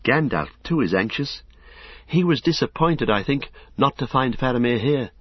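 A man voicing an anxious, excitable young male hobbit in a higher, breathless, pleading voice, from an audiobook reading. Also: normal speed, dry recording, natural voice. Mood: uneasy. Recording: dull lo-fi audiobook recording.

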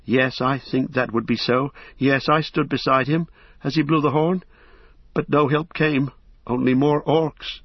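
An eager young halfling, earnest and proud, with a voice pitched well above a grown man's, as a male audiobook reader puts him on. The sound is dry and a little dull, like a close lo-fi recording. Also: steady tone, measured pace, no echo. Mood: faltering. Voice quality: higher, youthful put-on voice. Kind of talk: audiobook character voice.

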